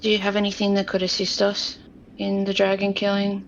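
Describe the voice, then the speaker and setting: A woman with a gravelly, creaky edge and a mid-pitched voice chats casually as a tabletop player. The recording is slightly dull and noisy. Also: monotone, fairly quick. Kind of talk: casual game table chat.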